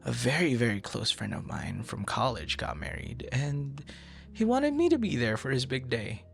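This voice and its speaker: A man's voice: mid-range voice, gravelly, a bit bright, exaggerated voice. A man performing an audio roleplay as a friendly, easily flustered young traveler chatting intimately and casually with a stranger, teasing and stammering playfully. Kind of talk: intimate boyfriend-style ASMR roleplay, casual conversation.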